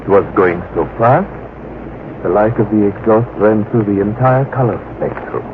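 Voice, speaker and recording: An actor playing a learned expedition professor, measured, precise and grave, in vintage radio drama style. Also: male voice, lowish voice, close to an everyday voice. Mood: solemn, explanatory. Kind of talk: 1940s-50s radio drama acting. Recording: tinny, noisy old radio broadcast.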